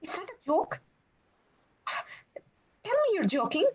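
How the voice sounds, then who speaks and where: A woman's voice: very high-pitched, big pitch swings, slow, muffled recording, full character voice. A woman voicing a panicky, sarcastic little male monster sidekick in a scripted podcast, complaining in long exasperated bursts. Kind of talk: scripted podcast character reading.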